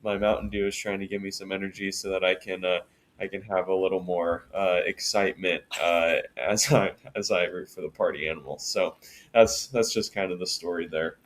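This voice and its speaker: A man co-hosting a podcast, talking casually in a flat monotone voice with lots of fillers and restarts. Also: deep voice. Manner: unhurried, many fillers and restarts. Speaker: podcast co-host.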